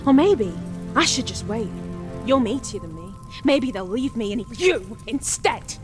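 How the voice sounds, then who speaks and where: High young kid voice, brash, bossy, insolent, video game character, loud music behind. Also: female voice, very animated, unhurried. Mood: scornful. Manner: insolent, pleading, exclamatory. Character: brash, bossy, sharp-tongued captive child.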